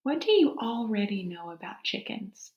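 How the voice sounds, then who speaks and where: Lady teacher reading a kids book out loud, really singsong up and down, kinda echoey room. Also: medium pitch, moderate pace, muffled recording. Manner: expressive, questioning, explains simply as if to young children. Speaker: teacher reading a nonfiction book aloud to young children. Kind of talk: interactive children's read-aloud lesson. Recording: roomy, echoing sound.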